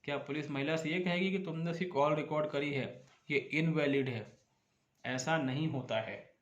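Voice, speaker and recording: A man speaks in a roomy, very echoey space. His voice sits a little high for a man. He explains points like a lawyer addressing viewers, pressing his case with emphasis and rhetorical questions. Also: normal speed, dull audio. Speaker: advocate giving legal advice as channel host.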